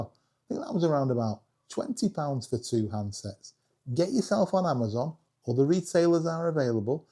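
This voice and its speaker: British man, husky, mellow tone, mid pitch, chatty video reviewer, self-deprecating humour. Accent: British English. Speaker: video creator reviewing radios. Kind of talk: casual hobby review to camera.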